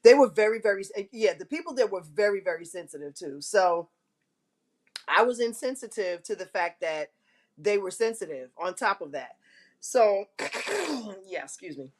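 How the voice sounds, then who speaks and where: A woman's voice: mid-range voice, lively intonation, normal speed. Frank woman with a big, carrying voice. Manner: frank and self-mocking, with frequent throat clearing. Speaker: host of a live online show. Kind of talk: candid personal storytelling to viewers.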